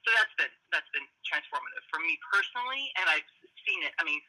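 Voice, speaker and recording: A woman, likely in her forties, talking casually in an interview about parenting work; she is naturally loud and animated. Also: low voice, fast talker, poor audio. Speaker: parenting program guest.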